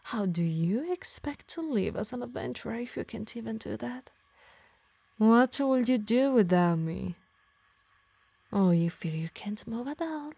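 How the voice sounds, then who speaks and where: Girl doing a yandere harpy asmr thing, italian accent, breathy n whispery, clingy and threatening, super dry close mic. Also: on the high side, expressive, no echo, full character voice. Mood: scolding, then smug, menacing. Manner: soft and breathy, slipping into whispers, slow menacing emphasis. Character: possessive yandere harpy woman, tender yet menacing, obsessed. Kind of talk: intimate ASMR roleplay acting.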